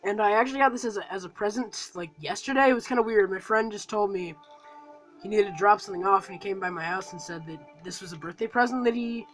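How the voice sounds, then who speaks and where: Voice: medium pitch, quick pace, faint music behind, low-quality recording. A reviewer with a cold talking casually through a toy set review, rambling and full of fillers. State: has a cold.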